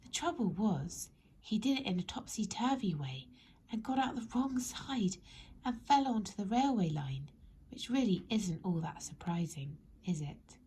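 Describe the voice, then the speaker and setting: A woman narrating a children's story expressively, in measured phrases that speak directly to young listeners. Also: mid-range voice, very animated, dark tone, a little hiss or hum. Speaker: storybook reader.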